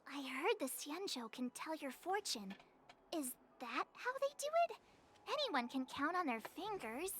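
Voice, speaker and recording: A woman voicing a perky, outspoken teenage adventurer girl in a video game, with a bubbly, cheeky, expressive delivery. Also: high-pitched, a little slow, a little hiss or hum, heavily acted. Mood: teasing.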